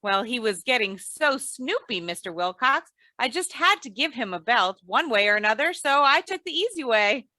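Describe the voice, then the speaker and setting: A woman playing a high, bright, sensible radio wife. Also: sing-song, moderate pace, few pauses, no room sound. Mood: teasing. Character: sensible, drily teasing radio-comedy wife. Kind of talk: old-time radio comedy acting.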